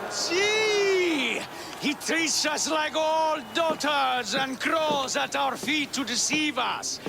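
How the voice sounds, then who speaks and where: An actor playing a comic Greek stage player in a video game, proclaiming loudly and pompously. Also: male voice, extremely high pitch, animated, slow, vocal fry, background noise, full character voice. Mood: grandiose.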